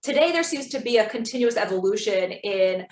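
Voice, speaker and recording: A woman acts as moderator of an online panel, speaking in a measured and polite conversational style. Her mid-pitched voice comes with a noticeably roomy echo. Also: animated, a little mellow. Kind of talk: moderating a webinar discussion. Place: indoor room, online webinar. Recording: roomy, echoing webinar audio.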